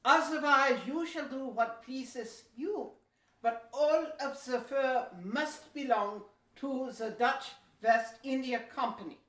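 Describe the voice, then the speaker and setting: A courteous seventeenth-century merchant agent played by a woman speaks in a high, unhurried voice, formal and persuasive. The audio-play delivery is measured and sounds distant in an echoing room. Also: a bit bright. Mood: courteous, businesslike. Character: businesslike Dutch trading company agent, male, courteous and persuasive. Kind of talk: amateur historical audio play acting. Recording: roomy echo, distant from mic.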